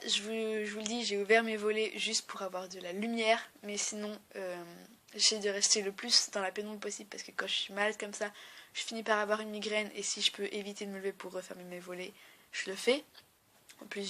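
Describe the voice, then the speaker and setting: A woman's voice: mid-range voice, a bit bright. A young woman with a blocked nose and a sore throat, chatting casually and rambling with lots of fillers in a home vlog filmed on a tablet at home. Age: young adult. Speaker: beauty vlogger. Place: at home in a bedroom. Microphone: tablet camera.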